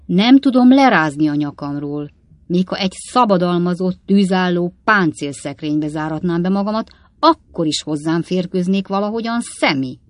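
A woman's voice: on the low side, no echo, close to an everyday voice. A woman voicing an old coachman father in a storybook reading, grumbling and reasoning pompously with emphatic indignation. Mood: indignant. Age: older.

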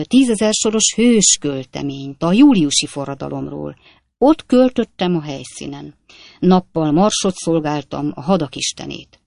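A woman's voice: deep voice, vocal fry, warm tone, no room sound, studio quality, heavily acted. A woman voicing a boastful, talkative male swindler who speaks in short, clipped fragments. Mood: bragging. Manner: clipped, breathless fragment sentences. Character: boastful chatty male swindler, young gent.

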